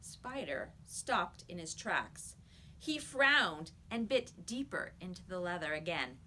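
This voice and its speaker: A woman's voice: fairly low pitch, big pitch swings, slow, bright, forceful tone, a little hiss or hum. A woman reading a children's story aloud like a teacher, with emphatic, dramatic storytelling.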